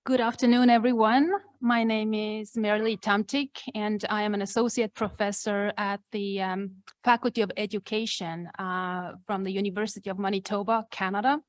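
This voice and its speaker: A woman academic introducing herself on a panel in a measured, formal manner. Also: mid-range voice, level tone, no echo, clean studio sound. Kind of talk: prepared panel self-introduction.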